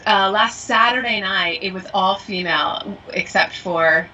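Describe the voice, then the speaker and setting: A woman's voice: mid-range voice, measured pace, sharp tone, distant mic, highs cut off, slight background noise. A woman co-hosting a podcast, a voiceover actor and acting coach who chats and laughs often. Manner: laughs often, bubbly and chatty. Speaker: podcast co-host. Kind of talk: casual chat.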